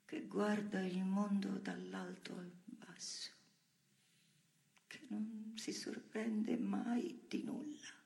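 A weary but defiant woman prisoner in a brooding theatrical monologue. Her voice is gravelly and breathy, often sinking into whispers. She speaks in slow, drawn-out phrases in a roomy, echoing space. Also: mid-range voice, a little mellow. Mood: quietly defiant.

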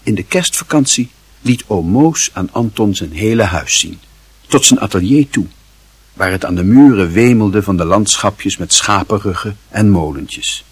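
A man reading scripted literary narration for a radio play in a measured storytelling manner. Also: on the low side, lively intonation, breathy edge, dry recording.

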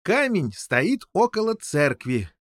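An excitable, muddled old king, as a male narrator plays him. The voice is high and swoops about, rambling and stumbling through explanations in a storybook reading. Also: slow, dry recording, studio quality, exaggerated voice. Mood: flustered, explaining. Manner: rambling, stammering, tacks on questioning interjections.

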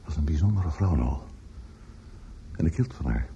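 An actor in a radio play playing a defensive, grieving older man, earnest and halting, often repeating words. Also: extremely low pitch, animated, creaky, mellow, exaggerated voice. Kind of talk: Dutch radio play acting. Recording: dull, noisy old radio recording.

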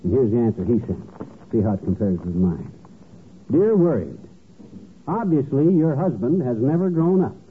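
An actor playing a boastful, childlike middle-aged husband, folksy and self-important, in an old radio comedy. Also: male voice, mid-range voice, very animated, moderate pace, pauses often, slight background noise, lightly acted. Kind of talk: 1940s-50s radio comedy acting. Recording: tinny, band-limited old radio broadcast.

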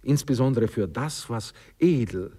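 An actor playing a pompous, middle-aged bourgeois Austrian husband who lectures condescendingly and haltingly in a radio play. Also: male voice, medium pitch, expressive, warm tone, breathy edge. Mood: pompous, lecturing. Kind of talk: 1960s German-language radio play acting. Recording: reverberant 1960s radio drama studio recording.

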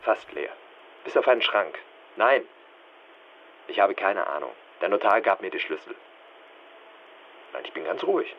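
Through dull sound with faint hiss, an agitated, evasive son on the telephone. His answers are clipped and insistent, and his pitch swings widely. It is one side of a radio drama call. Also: expressive, measured pace, long pauses. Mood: shaken, evasive.

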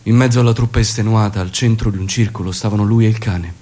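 Low-voiced guerrilla leader, played by a man. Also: fairly even intonation, quick pace, dark tone, lightly acted. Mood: grave, reflective. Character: commanding male guerrilla leader, teasing, shrewd, reflective. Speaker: stage actor. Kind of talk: theatrical dramatic acting and monologue.

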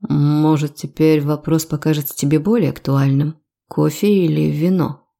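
A woman narrator plays a confident male lover in a romance audiobook. The voice is low, dark and creaky. He speaks in a measured, assertive way with a teasing edge. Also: fairly even intonation. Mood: self-assured. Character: self-assured, quietly commanding male lover in a romance.

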